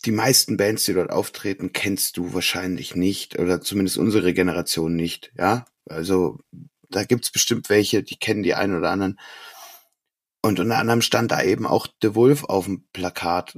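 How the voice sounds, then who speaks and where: A man with a low, quite husky voice talks casually as a podcast host. The recording is dry and close, with hardly any room around him. He chats about music in an informal way. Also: no echo.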